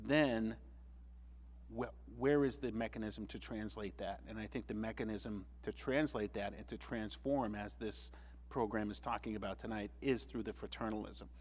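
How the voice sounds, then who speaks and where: A man speaking earnestly on a panel discussion, measured, with frequent restarts. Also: on the low side, steady tone.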